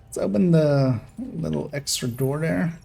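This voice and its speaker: A man from Iran with an accent that mixes Iranian, American and Dutch English, giving relaxed, rambling gameplay commentary as a streamer. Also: on the high side, measured pace, vocal fry, a little hiss or hum. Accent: Iranian mixed with American and Dutch English. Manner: relaxed, rambling explanations with little laughs.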